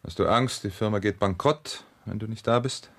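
Deep-voiced, scheming husband in radio drama. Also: male voice, moderate pace. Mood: soothing, evasive. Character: scheming husband, smooth and evasive, plotting over money. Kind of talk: radio crime drama acting.

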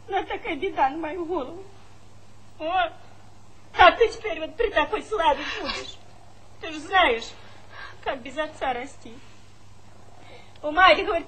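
A woman's voice: sits high, a bit bright. An actress playing a middle-aged village mother, brash, plaintive and exclamatory, in theatrical comedy style. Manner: folksy, exclamatory, wheedling. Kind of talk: theatrical comedy stage acting. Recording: noisy 1970s stage play recording.

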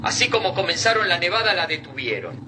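An actor playing a wary, commanding resistance fighter in a radio drama, giving urgent warnings. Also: male voice, high voice, very animated, fast, background noise. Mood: alarmed. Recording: distant voice under loud music.

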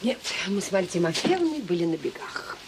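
An actress playing a devoted, gently bossy middle-aged mother in a naturalistic radio drama. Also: on the low side, very animated, fairly quick, background noise. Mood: sheepish, animated.

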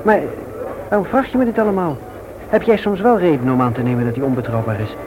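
Radio play role: man acts mild, measured, slightly evasive fellow, lightish male pitch, on a noisy vintage recording. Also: fairly even intonation, narrow, tinny audio, natural voice. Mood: concerned. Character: mild, evasive young man defending his young relative. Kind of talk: Dutch radio play acting.

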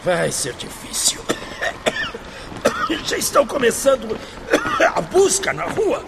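A man's voice: extremely high pitch, wildly swooping pitch, slow, vocal fry, exaggerated voice. A man playing a frail, agitated lost soul in a radio drama. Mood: anxious. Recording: very noisy ambience.

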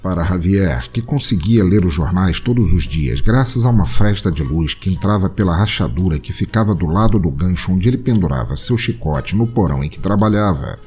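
A man's voice: sits low, animated, a little fast, few pauses, a little mellow. A man with a Brazilian accent narrating a comic audio drama in a theatrical, mock-literary manner. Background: music.

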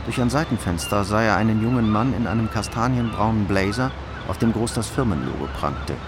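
A man's voice: lowish voice, lively intonation, fairly quick, noisy background. A man reading scripted narration for a radio crime drama. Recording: loud music behind narration.

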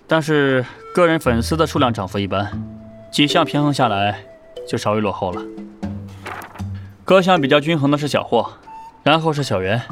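A man's voice: medium pitch, lightly acted. Actor as a businesslike male talent manager. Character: businesslike, matter-of-fact male idol group manager. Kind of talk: audio drama acting.

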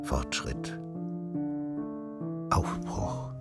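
An actor playing a solemn, ironic narrator of a radio drama, speaking in a deliberate theatrical way. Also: male voice. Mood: solemn, musing. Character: wry, solemn storyteller of the good old days. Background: music.

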